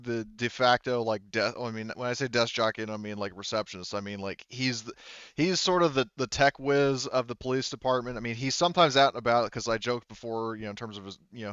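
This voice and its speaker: A man's voice: lowish voice, a bit bright, clear, resonant tone, no room sound, lo-fi sound. A man talking casually in a tabletop roleplaying game as game master, explaining things in a rambling way.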